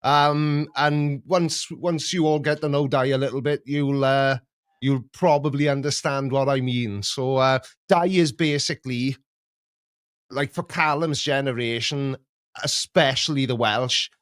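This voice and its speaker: A man's voice: medium pitch, steady tone, unhurried, smooth, dry recording, clean studio sound. A man hosting a live stream in casual chat, talking with laughs and restarts. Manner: chatty, with laughs and filler restarts.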